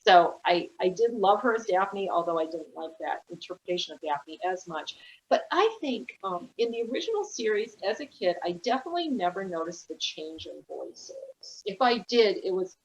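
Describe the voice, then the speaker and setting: An enthusiastic woman talking on a casual panel, her voice low and a bit muffled. She pauses and hunts for the right words as she goes. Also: very animated. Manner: enthusiastic fan, searching for words. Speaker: panel guest.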